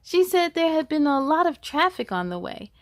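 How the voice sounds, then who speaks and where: A woman hosting a children's storytime, reading aloud in a cheerful, encouraging and expressive way. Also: high-pitched, moderate pace, no room sound, studio quality. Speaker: host of a children's read-aloud show.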